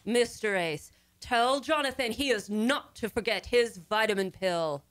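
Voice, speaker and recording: A woman's voice: a little high, measured pace, close to an everyday voice. A woman playing a bossy, haughty, unforgiving wife in an old-time radio comedy, imperious and indignant.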